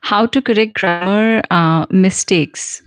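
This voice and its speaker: A middle-aged woman from central India with an Indian accent, a soft skills trainer hosting a live question and answer session, chatting and answering viewer comments with many fillers and small laughs. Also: mid-range voice, slow, smooth, no echo, clean studio sound. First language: Punjabi. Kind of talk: live stream Q&A.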